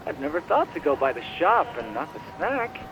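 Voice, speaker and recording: An actor playing a geeky, eager, chuckly computer-search expert in a video game, with quirky delivery. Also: male voice, fairly high pitch, sing-song, moderate pace, dull audio. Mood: impressed, teasing. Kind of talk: video game cutscene dialogue.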